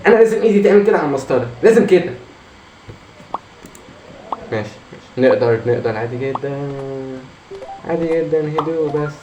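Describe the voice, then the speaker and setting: A hoarse man with an Egyptian Arabic accent, commenting on his own gameplay, muttering encouragement to himself and breaking into frustrated outbursts. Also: a little high, expressive, quick pace, pauses often, darkish tone. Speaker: gamer. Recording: echoey room with faint noise.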